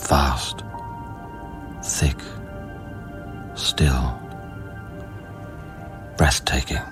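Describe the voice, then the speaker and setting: Radio drama lead: earnest, brooding space scientist, deep voice, deliberate teller, urgent questioner, light music bed. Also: male voice, level tone, full character voice. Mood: brooding, reflective. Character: earnest male scientist newly arrived on space station, recounting events. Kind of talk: radio drama acting with first-person narration. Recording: music behind the voice.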